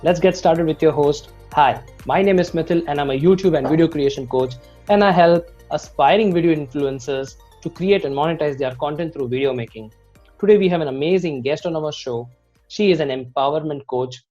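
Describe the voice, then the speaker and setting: Host with formal scripted intros. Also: male voice, fairly high pitch, fairly quick, dark tone. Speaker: host, video creation coach. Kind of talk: show host introduction and interviewing. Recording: dull lo-fi audio with music bed.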